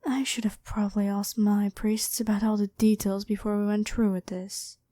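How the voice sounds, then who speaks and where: An evil ancient pharaoh queen, acted by a woman, in a very dry, close sound. She is haughty and demanding, speaking at a middling pitch with theatrical command, as in an audio roleplay monologue. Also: level tone, normal speed, dark tone, no room sound, natural voice. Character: evil, imperious ancient Egyptian pharaoh queen awakened from slumber. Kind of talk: scripted ASMR roleplay monologue.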